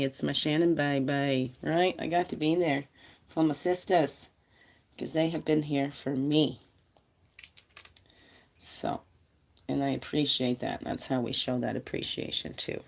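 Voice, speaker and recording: A woman's voice: deep voice, creaky, darkish tone. A woman vlogger chatting casually on a livestream about spiritual guidance, rambling and laughing, her voice nasal and stuffy from congestion.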